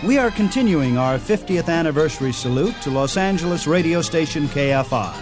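A male radio presenter reads a scripted introduction in a fairly high voice. His delivery moves up and down in pitch like a program announcer's. Music plays clearly behind him. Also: expressive, brisk. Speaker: radio show host and presenter. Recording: music under the voice.

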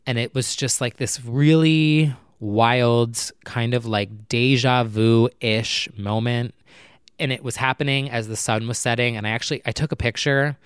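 A young man chatting casually at night, rambling with lots of fillers and talking low so his neighbors won't hear. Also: steady tone, unhurried, clean tone, dry recording, clean studio sound. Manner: talking low and quietly to keep neighbors from hearing. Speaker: vlogger. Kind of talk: casual personal chat to camera. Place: apartment at night.

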